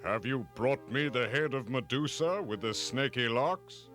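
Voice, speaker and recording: A man's voice: mid-range voice, measured pace, a bit bright, faint music behind, close to an everyday voice. A man voicing an evil, imperious king with a menacing manner in a storybook reading. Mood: menacing, haughty. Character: evil, threatening old king Polydectes.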